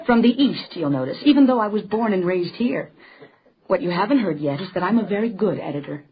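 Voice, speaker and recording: A woman's voice: mid-range voice, animated, a little fast, muffled recording, lightly acted. A woman playing a confident young newspaper editor in a period radio drama, forthright and wry, with teasing banter. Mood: brisk, teasing.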